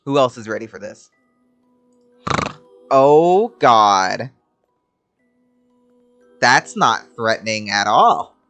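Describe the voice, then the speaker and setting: A young adult game streamer talks casually while playing. The voice sits at a middling pitch but swings widely, exclamatory and prone to swearing. Game music runs faintly underneath. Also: expressive, takes it slowly, pauses often, fairly bright tone. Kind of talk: casual reactive gameplay commentary. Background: video game music and combat.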